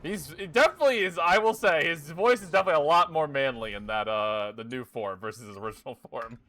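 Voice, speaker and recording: A man's voice: on the high side, fast talker, sharp tone. A young man casually commenting on his gameplay, thinking aloud, swearing and chuckling often. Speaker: game streamer. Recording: game music behind.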